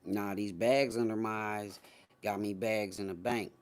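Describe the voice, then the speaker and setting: Low-voiced man, teasing live streamer. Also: steady tone, normal speed, a little mellow. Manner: teasing, boastful, slangy. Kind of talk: casual live stream chat with viewers.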